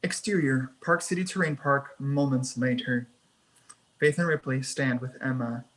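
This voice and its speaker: Medium-pitched narrator reading screenplay directions. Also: steady tone, a little slow, a bit bright, rough recording. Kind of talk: scripted narration at a screenplay table read.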